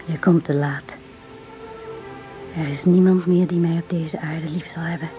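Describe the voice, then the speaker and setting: A woman's voice: low voice, fairly even intonation, unhurried, faint music behind. An actress playing a brave, devoted woman in grief in a radio drama, with solemn, theatrical phrasing. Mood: desolate grief. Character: grieving devoted woman, brave, tragic, resigned. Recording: muffled old radio drama recording.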